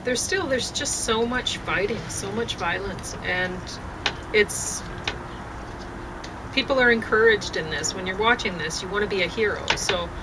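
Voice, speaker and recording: A woman in casual, rambling conversation, halting often as she thinks aloud, recorded outdoors on a deck. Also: mid-range voice. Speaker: vlogger sharing opinions on a show. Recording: outdoor deck, clear background noise, birds.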